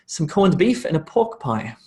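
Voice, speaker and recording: A man with an Australian accent teaching English pronunciation on a live online lesson, drilling phrases for the learner to repeat and slowing them down. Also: fairly high pitch, big pitch swings, warm tone.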